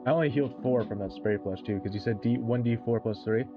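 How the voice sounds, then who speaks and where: A man's voice: medium pitch, fast talker, muffled recording, music in the background. A man talking casually as a player in a tabletop role-playing game.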